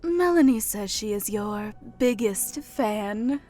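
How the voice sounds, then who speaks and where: A woman playing a teasing, playful goddess with a silky, husky delivery in an audiobook drama. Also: medium pitch, expressive, slow, warm tone, soft background music. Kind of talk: audiobook character acting.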